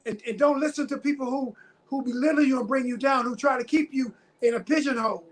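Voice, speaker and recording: Earnest, high-pitched guest in their fifties. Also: quick pace, a crowd in the background. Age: 50s. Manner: earnest, emphatic repetition. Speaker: interview guest, motivational speaker. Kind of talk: personal testimony in a casual interview.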